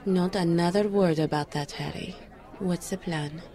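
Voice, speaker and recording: A woman's voice: lowish voice, moderate pace, warm tone, some chatter behind, natural voice. An actress playing a skeptical, guarded psychic woman, dry and teasing, in an audio drama. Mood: resigned. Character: skeptical, psychic enchanted woman, the detective's old flame.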